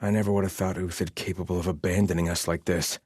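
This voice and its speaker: An actor playing a haughty, devout soldier of a sun-worshipping empire in a video game, with a formal, disdainful delivery. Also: male voice, low voice, little pitch movement, fast, a little mellow, slightly rough, dry recording, clean studio sound. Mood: grim, disdainful. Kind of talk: scripted video game barks and lines.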